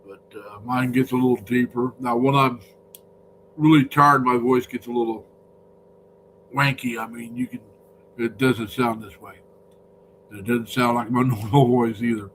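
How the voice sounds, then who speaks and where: A man hosting a casual live stream, his voice deepened by a cold, rambling and greeting viewers by name. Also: steady tone, unhurried, pauses often, a bit bright, a little hiss or hum, rough recording.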